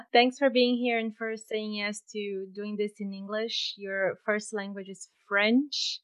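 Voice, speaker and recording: A woman hosting a podcast interview in her home office, cheerful and quick to laugh. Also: medium pitch, flat delivery, clean tone. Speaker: podcast host.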